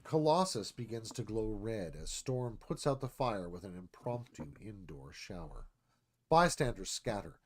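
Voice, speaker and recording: A man's voice: fairly low pitch, lively intonation, a little slow. A man narrating a screenplay, reading action and stage directions as dramatic scripted narration.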